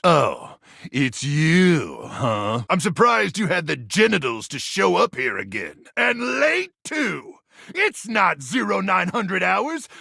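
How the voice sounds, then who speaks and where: A cocky, goofy wasteland soldier from a video game, with a forceful, gravelly voice. He delivers everything like a dramatic mission briefing, swooping up and down with macho bravado and dropping into deadpan asides. The sound is close and dry. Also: high-pitched, no echo, exaggerated voice. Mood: disappointed, stern. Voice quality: gravelly, raspy. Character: gung-ho, cocky, goofy male post-apocalyptic soldier, bossy and macho. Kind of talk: exaggerated video game character acting.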